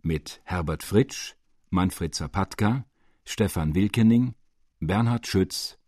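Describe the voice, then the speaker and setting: Deep husky guy announcing radio credits, slow and formal, dry studio. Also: a little mellow, no echo.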